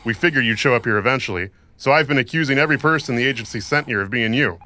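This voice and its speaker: An actor playing a bitter, tough cyberpunk mercenary and mentor in an audio drama, wry and goading. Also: male voice, medium pitch, racing, bright, forceful tone, lightly acted.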